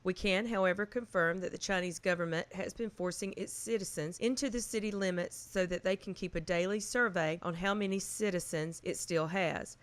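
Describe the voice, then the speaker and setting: A woman playing a formal, measured radio news announcer reading bulletins from a collapsing world in an audio drama. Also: fairly low pitch, clear, resonant tone, lightly acted.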